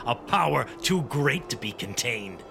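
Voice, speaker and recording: A man playing an arrogant, gloating monster hunter villain in a radio drama, with a creaky, sneering delivery. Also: mid-range voice, big pitch swings, noisy background. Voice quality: gravelly, creaky. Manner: slow, sneering, theatrical gloating.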